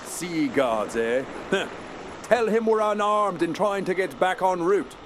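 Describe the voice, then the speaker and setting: An actor playing a bold, commanding ship's captain in a radio drama, hearty and used to giving orders. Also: male voice, sits high, lively intonation, slow pace, a little hiss or hum. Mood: wry, steady. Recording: loud storm and ship effects.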